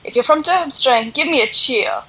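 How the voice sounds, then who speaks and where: A woman's voice: high-pitched, normal speed, sharp tone, slightly rough, dull audio, pitched above the performer's own voice, full character voice. A woman voicing an annoying, bombastic male tournament announcer in a mocking story retelling. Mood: rousing. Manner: bombastic, rousing.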